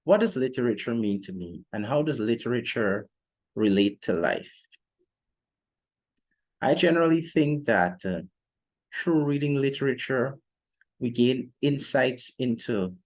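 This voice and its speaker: A young man presenting an explanatory podcast monologue in a measured, deliberate way with formal phrasing. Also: mid-range voice, animated, a little mellow. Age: young adult. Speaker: student podcast host.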